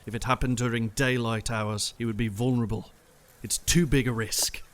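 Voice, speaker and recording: A man's voice: mid-range voice, fairly even intonation, normal speed, lightly acted. A man playing a methodical young planner. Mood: serious. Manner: careful, methodical reasoning. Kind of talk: audio drama acting.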